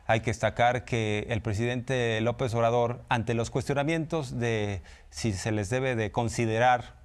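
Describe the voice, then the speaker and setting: A Mexican man presenting news commentary as a host, in a conversational style. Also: mid-range voice.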